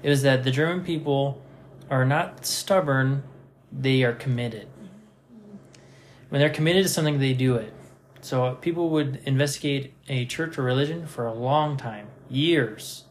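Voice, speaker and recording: Man in his thirties, podcast host, relaxed storyteller, mid-pitched voice, faint room hiss. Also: measured pace. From Nevada, USA. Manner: relaxed, anecdotal, self-deprecating. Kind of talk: casual conversation, storytelling.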